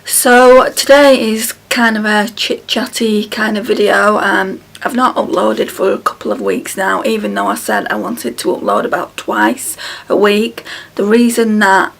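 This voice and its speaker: A woman in her thirties chatting to camera at home, her voice hoarse and croaky from illness, tired and halting with many ums. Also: medium pitch, fairly even intonation. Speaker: beauty vlogger. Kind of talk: casual chat to camera. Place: small room at home, indoors. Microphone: camera close in front.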